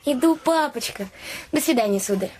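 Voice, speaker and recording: A woman's voice: high voice, expressive, moderate pace, a little mellow, slight background noise. A woman playing an earnest, chatty young innkeeper's daughter, confiding and artless, in a fairy-tale radio play. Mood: eager, obedient.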